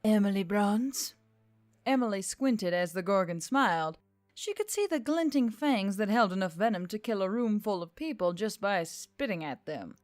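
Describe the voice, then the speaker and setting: A woman's voice: medium pitch, very animated, moderate pace, no room sound. Woman narrating fiction, very dry recording. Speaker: audiobook narrator. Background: music.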